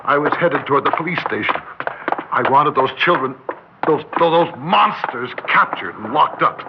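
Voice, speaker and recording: Urgent schoolteacher on muffled old radio. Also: male voice, fairly high pitch, expressive, normal speed, heavy background noise. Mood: tense narrating. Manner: urgent, stammering when flustered. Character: agitated small-town schoolteacher, desperate to be believed. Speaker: narrator and lead character. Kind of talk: old-time radio drama acting. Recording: muffled old radio recording, music behind.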